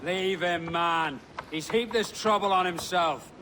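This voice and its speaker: An actor playing a blunt, grumbling, fed-up sailor in a video game. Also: male voice, high voice, measured pace, sharp tone, a little hiss or hum. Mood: exasperated. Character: blunt, disgruntled British sailor, plain-spoken and fed up. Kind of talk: cinematic video game acting.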